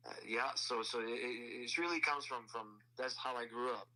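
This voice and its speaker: A man's voice: medium pitch, bright, forceful tone, rough recording. A man in his forties whose first language is French, a country singer telling his story in a casual interview with many restarts. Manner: halting, many restarts and fillers.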